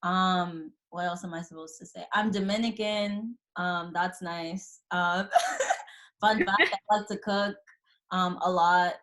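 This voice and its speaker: Young female student guest from the Boston area, soft-spoken and a touch shy, slightly low pitch. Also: steady tone, takes it slowly. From Boston area, USA. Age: young adult. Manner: shy, trailing off, soft laughs. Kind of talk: casual chat on a video call.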